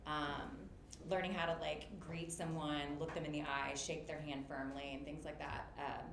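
A woman's voice: low-pitched, flat delivery, normal speed, vocal fry. A woman in her thirties telling her career story on a video call panel, talkative and full of fillers. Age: 30s. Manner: chatty, lots of fillers, laughs easily. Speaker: guest, makeup artist business owner. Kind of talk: casual panel chat. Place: indoor room, on a video call. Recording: roomy webcam audio with noise.

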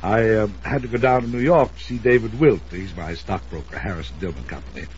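An actor playing a wealthy, domineering older uncle in a 1950s radio drama, commanding, suspicious and emphatic. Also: male voice, fairly low pitch, brisk, a little mellow, natural voice. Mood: insistent. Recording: muffled, noisy old radio recording.

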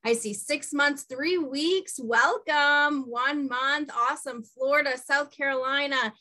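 A high, bright-voiced woman with a Boston-area New England accent leads an online training session. She sounds peppy and encouraging, and her voice is picked up by a webcam mic in a small room. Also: slow pace. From New Hampshire, US. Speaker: host and coach. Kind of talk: upbeat training webinar. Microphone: webcam on a video call.

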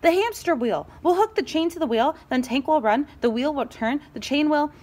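A woman voicing an eager, commanding highlighter pen character from a storybook. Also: lively intonation, normal speed, a little mellow. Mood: excited. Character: take-charge highlighter full of bright ideas.

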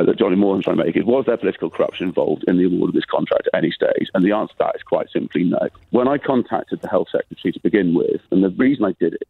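A low, gravelly British man on a tinny, dry phone line, talking defensively and insistently. He talks over interruptions and keeps pushing to finish his point, husky and a little rough around the edges. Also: dry recording. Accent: British English. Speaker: caller. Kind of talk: phone-in call defending himself.

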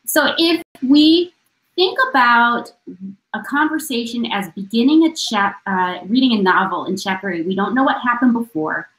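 A woman in her forties on a webcam video call, speaking as a panelist in a book-lined room. Her voice sits a little high and rings with a roomy echo. She is earnest and reflective, setting out her points one at a time. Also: expressive, moderate pace, fairly bright tone. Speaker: panelist, oral historian. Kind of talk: conference panel remarks.

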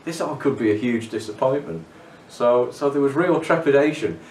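A man's voice: mid-range voice, moderate pace, darkish tone, a little hiss or hum. A middle-aged man, a cave diver, talking in an interview about diving and often repeating words as he starts a phrase. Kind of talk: documentary interview. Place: living room. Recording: roomy, from a distance.

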